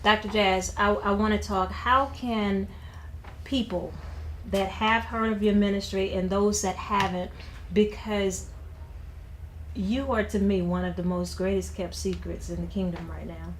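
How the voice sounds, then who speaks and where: A woman's voice: medium pitch, fairly even intonation, measured pace, slight background noise. A woman hosting an interview. She encourages her guest with frequent affirmations. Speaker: interviewer, host. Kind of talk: interview chat.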